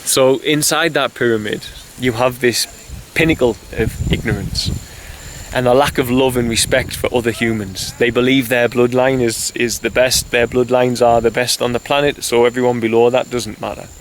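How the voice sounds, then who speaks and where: A man's voice: mid-range voice, fairly even intonation, moderate pace, slight background noise. A man giving an impassioned monologue straight to camera, urging the listener in a rambling way that restarts mid-thought. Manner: earnest, urgent, addresses listener directly as "you". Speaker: video blogger.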